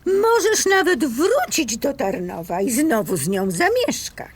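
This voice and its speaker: An actress playing a suspicious, fed-up woman in an audio drama, sarcastic and cutting with drawn-out emphasis. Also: sits high, sing-song. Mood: bitterly sarcastic. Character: fed-up, suspicious woman confronting her unfaithful partner.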